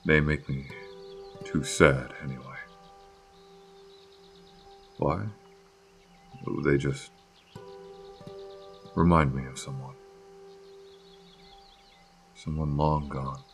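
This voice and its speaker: A man acting in a fantasy audio drama as a gentle, melancholy guardian of a flower field, speaking in measured, halting phrases. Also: extremely low pitch, steady tone, faint music behind, full character voice. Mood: wistful.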